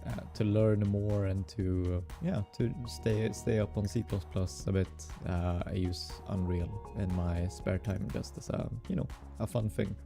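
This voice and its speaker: Man, Swedish accent, low voice, unhurried, musing with fillers, faint background music. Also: fairly even intonation, creaky. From Sweden. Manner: musing aloud, halting with fillers and soft laughs. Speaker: game developer streaming his hobby project. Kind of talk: casual live-coding stream chatter.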